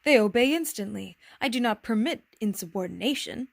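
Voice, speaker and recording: A woman's voice: a little high, animated, brisk, vocal fry, dry recording. A woman playing a pompous, commanding storybook king. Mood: self-important. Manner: stately, emphatic, declamatory. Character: proud, pompous, commanding but reasonable old-fashioned king.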